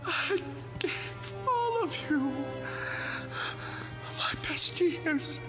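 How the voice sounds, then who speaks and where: An actor playing a devoted, lonely museum caretaker, meek but fervent, in a vintage television drama with emotional, deferential delivery. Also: male voice, extremely high pitch, animated, drawn-out words, music in the background, heavily acted. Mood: anguished, accusing.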